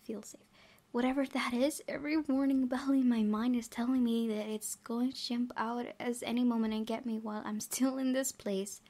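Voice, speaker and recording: A woman voicing a terrified, devout young heroine in a horror visual novel, narrating in a tense, breathy, dramatic way. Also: fairly high pitch, little pitch movement, moderate pace. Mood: tense, urgent. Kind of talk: dramatic first-person storytelling. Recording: loud game music behind voice.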